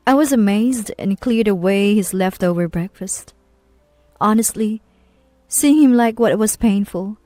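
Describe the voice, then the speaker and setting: A woman's voice: mid-range voice, mellow, dry recording. A woman reading scripted first-person story narration.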